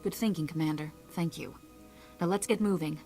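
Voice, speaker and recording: An actress playing a composed, resolute ambassador in a space-opera video game, measured, formal and authoritative. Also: female voice, on the low side, warm tone, soft background music, close to an everyday voice. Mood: composed, urgent.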